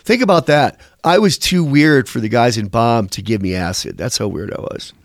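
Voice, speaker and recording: A man's voice: mid-range voice, expressive, moderate pace, no echo, studio quality. A man being interviewed as a musician, telling candid, rambling stories and swearing casually. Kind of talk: candid conversational storytelling.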